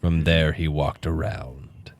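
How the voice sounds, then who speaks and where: A man playing a solemn storyteller of an old samurai legend, slow, dramatic and hushed. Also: extremely low pitch, fairly even intonation, voice pushed down, heavily acted.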